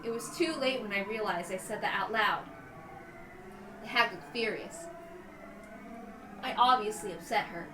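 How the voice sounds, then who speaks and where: A woman's voice: medium pitch, unhurried, pauses often, roomy sound, background noise. A woman reading a visual novel aloud as a dramatic first-person narration. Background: background music.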